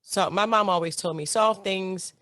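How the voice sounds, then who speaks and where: A woman's voice: medium pitch, moderate pace, a bit bright, no echo, clean studio sound. A woman hosting a radio interview show, effusive and enthusiastic as she asks her questions.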